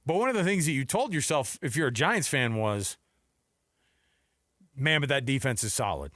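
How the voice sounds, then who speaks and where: Lively American man talking sports. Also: medium pitch, moderate pace, no room sound, clean studio sound. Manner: jokey, conversational, acts out imagined quotes. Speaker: sports radio co-host. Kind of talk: casual sports talk banter with news rundown.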